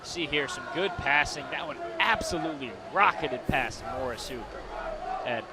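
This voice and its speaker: A man's voice: on the high side, animated, moderate pace. An American man giving color commentary and analysis during a live lacrosse broadcast. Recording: live game, clear background noise. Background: outdoor game noise.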